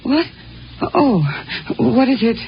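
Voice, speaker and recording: An actress playing a dreamy, wistful, uneasy wife in a 1950s radio drama, speaking hesitantly. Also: female voice, fairly high pitch, slow pace, creaky. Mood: wistful, embarrassed. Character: dreamy, wistful alien wife, fearful of her husband. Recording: dull, noisy vintage 1950 radio recording.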